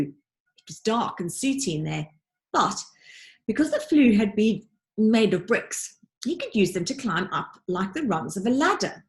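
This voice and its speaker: A woman narrating a children's storybook like a teacher reading aloud, with dramatic, deliberate emphasis. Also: mid-range voice. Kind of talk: expressive children's audiobook narration.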